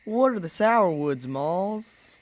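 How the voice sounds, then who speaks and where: A man's voice: sits high, expressive, slow. A young man playing a timid, hesitant boy in an amateur radio play. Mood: uneasy. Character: timid young red-haired boy, worried little brother.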